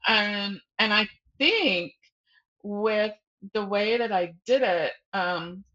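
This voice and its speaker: A woman talks in a relaxed, conversational interview style at a middling pitch. She thinks aloud, interrupting and rephrasing herself as she explains. The recording is a little dull and limited in its frequency range. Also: slow. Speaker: guest novelist. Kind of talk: casual podcast interview.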